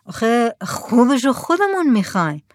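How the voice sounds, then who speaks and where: A woman's voice: fairly high pitch, sing-song, no room sound, studio quality, voice pushed up. A woman reading the lines of an eager village man trying to sell his goods. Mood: coaxing. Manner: coaxing, persuasive.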